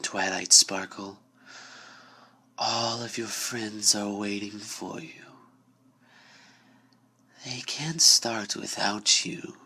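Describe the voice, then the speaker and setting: A man voicing a sinister, seductive door that coaxes slowly in a breathy, falsely soothing whisper, in a horror story reading. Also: lowish voice, bright, forceful tone, full character voice. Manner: slow, coaxing, faintly amused.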